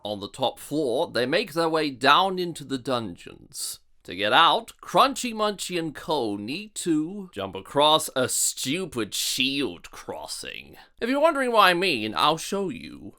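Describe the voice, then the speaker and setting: A man's voice: fairly high pitch, wildly swooping pitch, a little slow, hardly any pauses, bright, forceful tone. A man narrating a scripted review in a sardonic manner.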